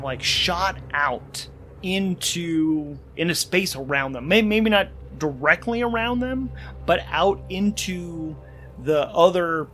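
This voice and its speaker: A man's voice: a little high, fairly bright tone, a little hiss or hum. A man working as game master and host of a solo tabletop game, thinking aloud as he narrates rules and story. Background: music.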